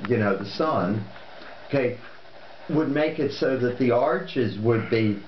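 A man's voice: medium pitch, takes it slowly, a little hiss or hum. An older man at a family dinner table, telling a rambling story full of okays and restarts. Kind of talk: casual chat, storytelling. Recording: lo-fi echoey room.

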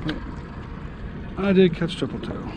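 An easygoing man in his forties narrates his fishing trip, voice a touch high for a man. He talks from a small open boat far offshore, recorded on an action camera. Clear wind and water noise runs under his voice. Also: takes it slowly, muffled recording. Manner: easygoing, chatty, talks to the fish and viewers. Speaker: fishing channel host. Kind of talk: casual fishing vlog narration.

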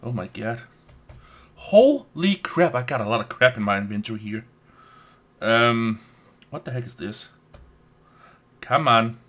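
A young man with a Danish accent, a native Danish speaker, giving casual let's play commentary, excitable, swearing and laughing at the game as he plays. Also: mid-range voice, measured pace, long pauses. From Denmark.

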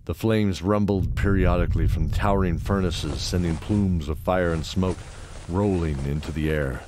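A man's voice: low-pitched, normal speed. A man narrating a scripted fantasy audio drama. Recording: clear background noise and ambience.

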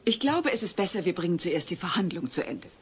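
A woman's voice: on the low side, big pitch swings, brisk, a little hiss or hum. An actress playing a no-nonsense, wry middle-aged mother acting as judge in a German comedy audio drama, firm and dryly sceptical. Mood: dry, presiding.

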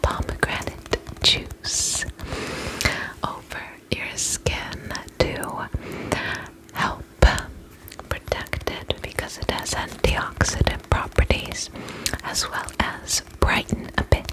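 A woman playing a gentle, soothing vampire spa attendant in an ASMR roleplay, speaking in a breathy whisper with soft, attentive reassurance. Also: slow, natural voice, low-quality recording. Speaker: ASMR performer.

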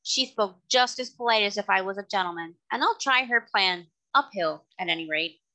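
Blunt loud carter guy in a storybook, bright tone, read by a woman. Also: medium pitch, lively intonation, moderate pace. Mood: gruffly obliging. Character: gruff working carter man, blunt but obliging. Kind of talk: audiobook character reading.

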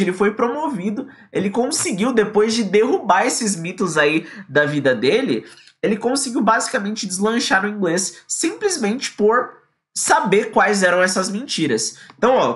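Lively young male English teacher. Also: sits high, moderate pace. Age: young adult. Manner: lively and energetic, quoting a student in acted lines. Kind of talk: online English lesson in Portuguese. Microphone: webcam at close range.

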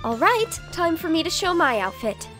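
A woman's voice: very high-pitched, big pitch swings, moderate pace, a bit bright, soft background music, full character voice. A woman voicing a poised, playful teen girl dressed as a witch in an anime-style fan dub.

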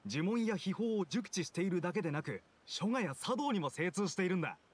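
An opinionated townsman with a fairly high, lively voice. He explains himself assertively and casually, as video game dialogue, over faint ambient noise. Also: brisk. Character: opinionated adult male townsman, avid novel reader.

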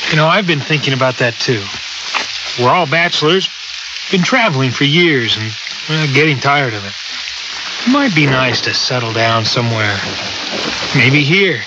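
A man's voice: medium pitch, lively intonation, moderate pace, pauses often, noisy background, close to an everyday voice. An actor playing a dutiful, easygoing spaceship crewman, speaking earnestly in a radio drama. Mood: musing. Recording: dull radio recording, loud music behind.

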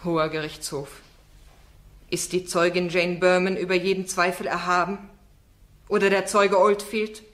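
Woman acting a sharp, eloquent female defence barrister. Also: on the low side, flat delivery, normal speed, lightly acted. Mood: impassioned pleading. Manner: formal, insistent, rhetorical. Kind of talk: German radio play acting.

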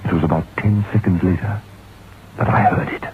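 An actor playing a troubled, curious writer who recounts his dread in a deliberate, suspenseful old-time radio horror monologue. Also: sits low, steady tone, lightly acted. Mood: uneasy. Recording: muffled, noisy vintage radio recording.

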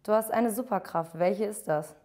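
A woman interviewer firing off quick, teasing questions in a studio. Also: mid-range voice, warm tone. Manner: brisk, teasing question delivery.

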